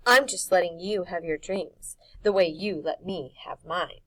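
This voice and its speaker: A woman voicing a brave young boy hero in a storybook, calm, firm and challenging. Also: on the low side, a little slow, rough recording.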